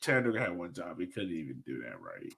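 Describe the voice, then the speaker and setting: A man's voice: deep voice, wildly swooping pitch, fast, creaky, a crowd in the background. A man hosting a casual sports podcast chat, easygoing and slangy, often repeating phrases for emphasis. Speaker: podcast host.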